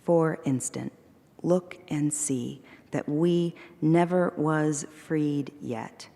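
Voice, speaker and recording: Low, slow woman reciting poetry. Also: creaky, dark tone, big-room sound. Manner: measured, deliberate recitation. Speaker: poet. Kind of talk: poetry reading. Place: performing arts center hall.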